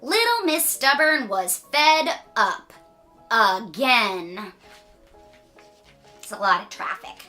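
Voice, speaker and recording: Bright, slow, animated woman reading a storybook. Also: fairly high pitch, soft background music. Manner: playful asides, dramatic drawn-out emphasis. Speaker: children's story reader. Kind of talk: animated read-aloud storytelling.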